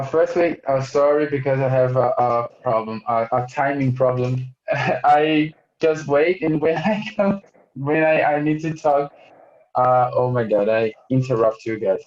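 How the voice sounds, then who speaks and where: A man from Brazil with a Brazilian Portuguese accent, speaking English hesitantly and apologetically, with many pauses and restarts. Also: medium pitch, darkish tone. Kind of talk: casual discussion. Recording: roomy, dull-sounding call audio.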